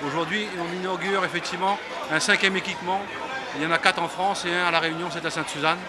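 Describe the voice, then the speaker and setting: A man's voice: sits high, fairly even intonation, quick pace. A man answering an interview as a local official, emphatic and repeating his phrases, outdoors at a public event. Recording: outdoor crowd noise behind. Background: crowd.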